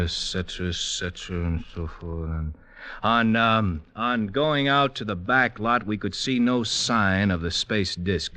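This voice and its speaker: A man's voice: fairly low pitch, fairly bright tone, close to an everyday voice. An actor playing a sober, unyielding male lieutenant, official and matter-of-fact, in an old radio drama. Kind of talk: 1950s radio drama acting.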